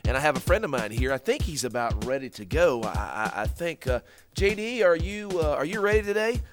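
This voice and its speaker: A man's voice: fairly high pitch, sing-song, fairly quick, faint music behind. A man hosting a kids' ministry skit, stammering and repeating words while he patiently explains things. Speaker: children's ministry host. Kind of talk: scripted comic skit for kids.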